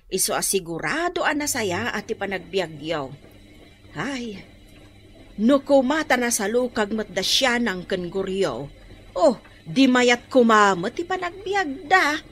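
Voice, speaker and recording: Slow-talking, kindly older Ilocano woman. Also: fairly high pitch, big pitch swings, a bit bright. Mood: kindly, approving. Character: kindly older woman neighbour, approving and grateful. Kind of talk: Ilocano radio drama acting.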